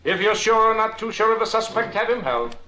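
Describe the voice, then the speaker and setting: An actor playing a brisk, stern American police sergeant in a 1950s radio crime drama, barking orders and clipped official questions. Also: male voice, high voice, lively intonation, bright, forceful tone. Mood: official, commanding. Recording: noisy old radio recording.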